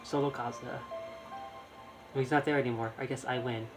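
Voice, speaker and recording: A man's voice: medium pitch, fairly even intonation, poor audio. Rambling man streaming a game over music. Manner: rambling, halting, repeats words. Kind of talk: casual live game commentary.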